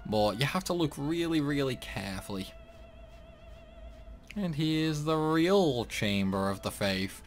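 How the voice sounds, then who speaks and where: A man's voice: fairly high pitch, expressive, a little slow, faint music behind. Chatty young man doing game commentary. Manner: chatty, rambling, lots of likes and uhs. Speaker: gaming youtuber. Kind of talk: casual gameplay commentary.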